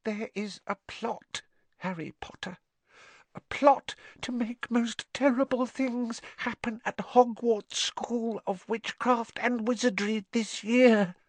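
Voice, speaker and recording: A performer voicing a small male house-elf, servile and anxious, with a squeaky, fretful, reverent way of speaking for a storybook. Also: fairly even intonation, slow pace, no room sound. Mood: fearful, secretive. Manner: reverent third-person speech, fretful.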